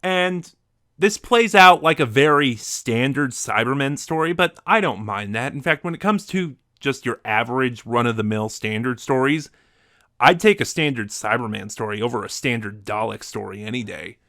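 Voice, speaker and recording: A man's voice: medium pitch, animated, normal speed, sharp tone, dry recording. Man giving a casual review, dry close sound. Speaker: reviewer ranking favorite audio dramas.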